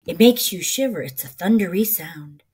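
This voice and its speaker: A middle-aged woman reading a children's picture book aloud in an expressive, rhythmic, sing-song way. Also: on the low side, normal speed, breathy edge.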